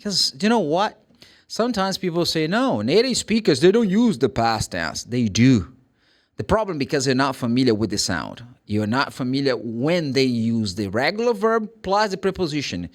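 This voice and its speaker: A man's voice: medium pitch, very animated, moderate pace. A man from Brazil with a Brazilian accent, a native Portuguese speaker, teaching English pronunciation informally and emphatically, often answering his own questions. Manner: emphatic, asks and answers own questions, acts out short examples.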